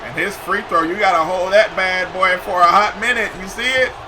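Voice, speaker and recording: A man giving excited, slangy live commentary over basketball video game play, shouting at big plays, his voice getting hoarse. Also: high-pitched, moderate pace, noisy background. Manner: slangy, says "yo" often. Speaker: gaming YouTuber.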